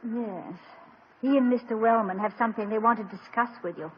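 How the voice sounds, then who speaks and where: An actress playing a gracious, witty college president's wife in poised, teasing 1950s radio comedy style. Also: female voice, fairly high pitch, a little fast, slight background noise, close to an everyday voice. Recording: dull lo-fi 1950 radio broadcast.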